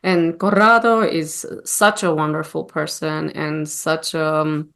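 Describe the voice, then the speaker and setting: A woman from Armenia, speaking English as a guest in a casual interview and often pausing to search for words. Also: low-pitched, steady tone, gravelly. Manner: warm, searching for words with many fillers. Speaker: guest opera singer.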